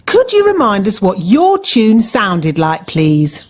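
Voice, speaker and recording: Bossy British cartoon nanny, lively pitch. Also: female voice, slow, over loud music. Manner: bossy, clipped, schoolmistressy. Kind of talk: children's cartoon acting.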